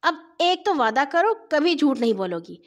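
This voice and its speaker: A woman's voice: high voice, big pitch swings. A woman voicing an exasperated older sister in a children's story, emphatic, reproachful and instructive. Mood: earnest advising. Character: exasperated older sister scolding then gently advising.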